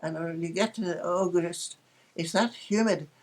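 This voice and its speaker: A woman's voice: fairly low pitch, unhurried, a little mellow. An elderly woman in her eighties with a Northern Irish accent, casually reminiscing about her life in a chatty, anecdotal way with wry humour. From Northern Ireland. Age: 80s. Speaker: interviewee recalling her life.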